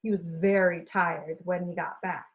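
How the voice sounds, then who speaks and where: A woman teacher reading a storybook aloud expressively, addressing young listeners in an animated way. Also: lowish voice, measured pace, narrow, tinny audio.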